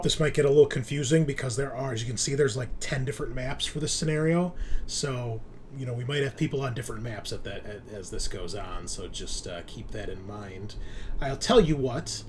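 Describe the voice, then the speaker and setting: A man runs an online tabletop roleplaying game as game master. His voice sits at a medium pitch and he explains things informally. There is faint noise behind him on the home recording.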